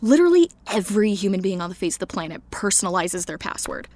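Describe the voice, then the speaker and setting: A woman's voice: medium pitch, big pitch swings, fast talker, darkish tone, close to an everyday voice. A woman acting as an exasperated, sardonic young woman in an audio drama, with a dry, casual way of talking. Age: young adult.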